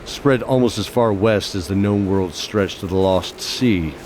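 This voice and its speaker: Solemn male fantasy narrator, voiced by an actor, lowish pitch, scripted dramatic delivery, noisy ambience behind. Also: measured pace, close to an everyday voice. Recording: clear background ambience noise.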